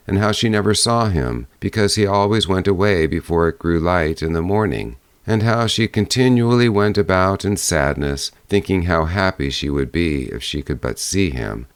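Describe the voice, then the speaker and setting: A man narrating a fairy-tale audiobook in a measured storytelling manner. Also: sits low, clear, resonant tone, no echo.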